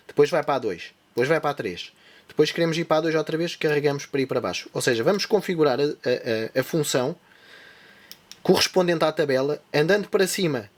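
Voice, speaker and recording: A man's voice: fairly high pitch, expressive, quick pace. European Portuguese man explaining device setup. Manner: step-by-step explaining while demonstrating. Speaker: tech channel host. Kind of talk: hands-on device tutorial.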